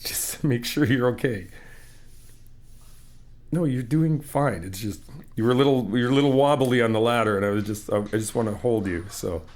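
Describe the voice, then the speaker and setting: A man's voice: medium pitch, normal speed, a little mellow. A young man in an ASMR roleplay, talking as a friend helping paint a new apartment, easygoing and reassuring, teasing and laughing often. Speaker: ASMR roleplay creator playing a supportive friend.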